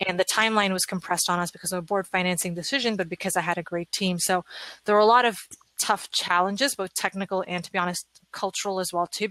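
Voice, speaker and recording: A woman's voice: lowish voice, fairly bright tone, no room sound, poor audio. A woman speaking as an engineering director, answering in a conference panel discussion.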